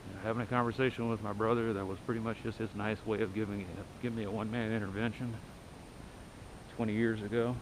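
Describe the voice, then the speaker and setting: A middle-aged Texas man with a low voice and a light twang rambles bluntly as he walks. He is recorded outdoors on a body-worn mic. Cars and street rumble come through clearly behind him. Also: level tone, fast talker. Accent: Texas, lightly softened. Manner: blunt, wry, talks while walking. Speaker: walking vlogger. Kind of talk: rambling night-walk monologue. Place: residential street at night, outdoors. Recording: street noise and passing cars.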